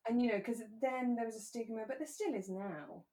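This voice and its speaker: A woman co-hosting a podcast in relaxed, casual chat, talking in a candid, conversational way. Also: a little high, brisk. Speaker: podcast co-host. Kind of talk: relaxed casual chat about a radio drama.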